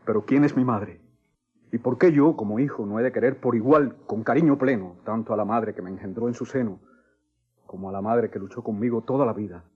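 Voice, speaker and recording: A man's voice: fairly low pitch, a little mellow, husky. A man playing an earnest young son in an old radio soap, pleading in florid, impassioned speech. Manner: declamatory, florid, impassioned delivery. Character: earnest young man pleading to learn his mother's identity. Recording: dull, lo-fi old radio recording.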